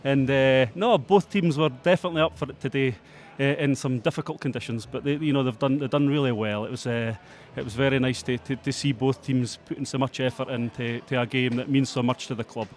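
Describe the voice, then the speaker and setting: A man, effusive but halting, interviewed after a match as club president. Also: medium pitch, fairly even intonation, a little hiss or hum.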